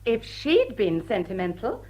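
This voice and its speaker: An actress playing a witty, genteel young society woman, polite and gently arch. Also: a little high, huge pitch swings, a little slow, exaggerated voice, low-quality recording. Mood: hesitant, regretful. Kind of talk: stage drawing-room radio drama.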